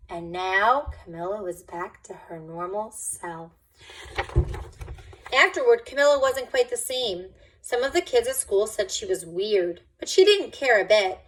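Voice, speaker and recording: A woman's voice: medium pitch, fairly bright tone, poor audio. A woman reading a picture book aloud to children, expressively and with dramatic emphasis.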